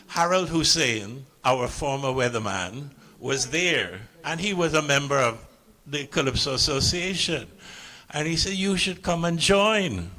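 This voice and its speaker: A man's voice: on the high side, expressive, measured pace, pushed and bright. A middle-aged man with a Caribbean English accent, a calypsonian telling a chuckling story to a live audience on stage.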